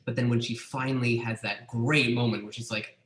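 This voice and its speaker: A man leads a casual talk about theater, thoughtful and enthusiastic. His pitch is mid-range for a man. The voice sits in a roomy, echoey space. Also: little pitch movement, brisk, fairly bright tone. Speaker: theater director and host. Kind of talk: casual conversation. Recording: echoey room.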